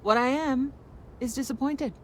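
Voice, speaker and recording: A woman's voice: sits high, normal speed, a little hiss or hum, lightly acted, rough recording. A woman playing a menacing, sardonic frontier gang boss in a video game, with a taunting, theatrical drawl. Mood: sardonic disdain.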